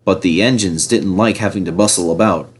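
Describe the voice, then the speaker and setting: A man narrating a children's storybook in an expressive, scripted reading style. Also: low-pitched, fairly quick, breathy edge.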